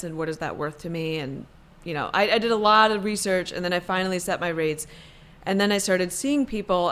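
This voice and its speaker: Reflective woman psychiatrist, lower voice. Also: a bit bright. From Maryland, United States. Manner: thoughtful, frequent fillers and self-corrections. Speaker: psychiatrist guest. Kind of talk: casual conversational interview.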